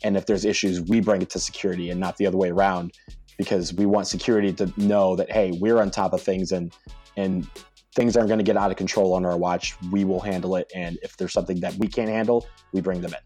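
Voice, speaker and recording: An American man talking casually as a podcast guest, telling animated stories and laughing often. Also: sits low, quick pace, breathy edge, faint music behind. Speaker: podcast guest, soccer supporter leader. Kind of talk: casual conversation.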